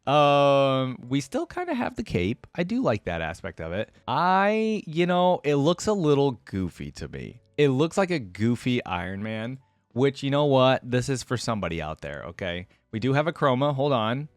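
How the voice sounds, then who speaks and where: A man hosting a reaction video in a casual, informal way. His pitch moves around in a lively, animated way as he shares opinions and then softens them. The sound is dry and close. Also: moderate pace, dry recording.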